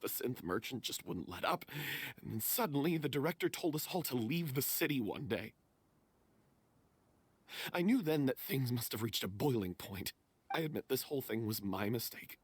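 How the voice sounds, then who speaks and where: A man's voice: mid-range voice, big pitch swings, normal speed, slightly rough. A man playing a guilt-ridden member of a theater troupe, speaking in remorseful, dramatic confession. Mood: anxious, confessional. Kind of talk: dramatic voice acting.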